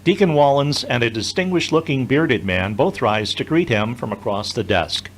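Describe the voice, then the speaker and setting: A man's voice: mid-range voice, animated, moderate pace. A man narrating an audio drama from a script in the third person.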